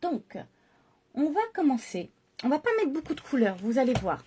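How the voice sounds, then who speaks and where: A woman's voice: high voice, big pitch swings, normal speed, dark tone, slight background noise. A woman chatting through a makeup tutorial and explaining each step to her viewers. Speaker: makeup vlogger.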